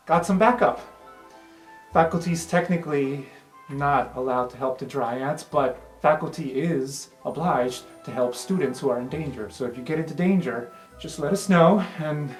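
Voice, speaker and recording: A woman's voice: deep voice, a little slow. A woman playing an awkward, loyal young magic student in a tabletop game, blunt and casually sweary. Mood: earnest, brash. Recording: roomy sound with music underneath.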